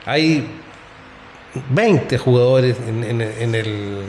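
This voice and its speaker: A man's voice: medium pitch, drawn-out words, darkish tone. A man arguing his point emphatically in a casual football chat. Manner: emphatic, argumentative. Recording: echoey room with faint noise.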